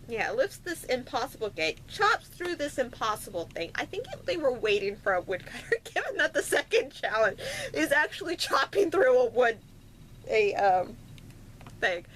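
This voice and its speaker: A woman with a fairly high voice talks casually as a vlogger. Her pitch swings in a lively way as she retells tales off the cuff, dropping in playful asides and slangy phrasing. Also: slight background noise, low-quality recording. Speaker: vlogger recounting favourite fairy tales. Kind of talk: casual unscripted chat to camera.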